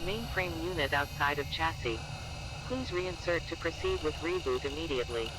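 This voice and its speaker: A man's voice: fairly high pitch, animated, normal speed, very noisy, natural voice. A man voicing a malfunctioning military mainframe computer that gives impersonal, formulaic error announcements in a sci-fi drama. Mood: impersonal warning. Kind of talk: sci-fi audio drama machine voice.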